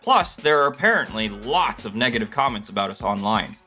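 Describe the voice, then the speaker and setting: A man playing an earnest, wronged office developer in an animated manga dub, expressive, with music behind him. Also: medium pitch, fairly quick. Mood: troubled. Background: background music.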